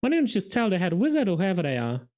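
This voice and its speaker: A man's voice: high voice, huge pitch swings, fast, a little mellow, pitched above the performer's own voice. A man voicing an earnest, naive young male city watchman, eagerly puzzling out guesses.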